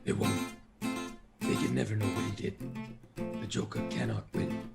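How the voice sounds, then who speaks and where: A man acts out a brooding masked vigilante hero with a heavily creaking, gravelly voice. He is weary and self-sacrificing, read as drama over loud guitar music. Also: lowish voice, big pitch swings, moderate pace, voice pushed down, heavily acted. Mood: grim, resolute. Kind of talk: amateur dramatic table read.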